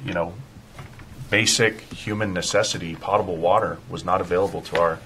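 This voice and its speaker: A man's voice: deep voice, fairly even intonation, a little fast, a bit bright, a little hiss or hum. A man discussing matters informally as a member of a public board. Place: indoor municipal meeting room.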